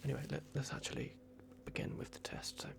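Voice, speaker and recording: A man voicing a playful, fussy vampire doctor in an ASMR roleplay, murmuring halting reassurances in a breathy whisper. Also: natural voice. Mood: flustered. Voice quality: breathy whisper with gravelly creak.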